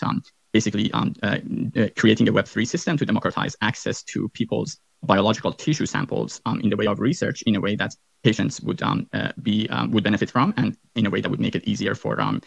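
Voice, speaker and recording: Male host, rapid and gravelly, rambling with fillers, dry dull recording. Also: on the low side, dry recording. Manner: rambling, many fillers and restarts. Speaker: host, physician. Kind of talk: casual interview chat.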